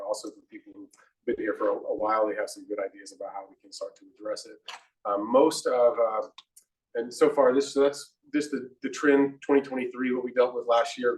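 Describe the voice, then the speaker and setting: Hesitant man giving a police briefing, distant. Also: medium pitch. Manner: hesitant, with many ums and restarts. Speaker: police lieutenant. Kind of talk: briefing on crime statistics. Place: community meeting room.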